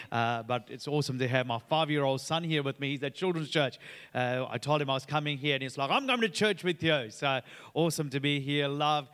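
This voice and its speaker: A man's voice: mid-range voice, fairly bright tone, smooth. A man in his thirties, originally from Sri Lanka, preaching as a guest pastor. He talks in an upbeat, chatty way with many "uh" fillers. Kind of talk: sermon with personal story. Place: church auditorium. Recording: long reverb of a church hall.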